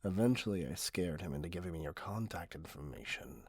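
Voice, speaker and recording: Man acting out a husky, low-voiced obsessive Karen customer. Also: animated, brisk, a little mellow, no echo, clean studio sound, full character voice. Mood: aggrieved. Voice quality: husky, often breathy. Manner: condescending, self-righteous, cloyingly possessive. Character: obsessive, entitled middle-aged yandere customer, a "Karen" parent kidnapper. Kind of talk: ASMR roleplay monologue. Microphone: close, dry microphone.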